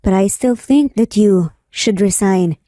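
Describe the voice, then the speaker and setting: A woman plays an earnest young man in love from a romance audio story. He is gentle, teasing and sincere, mixing in English. The voice is mellow and dry. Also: mid-range voice, slow pace, dry recording, lightly acted. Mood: tender, sincere.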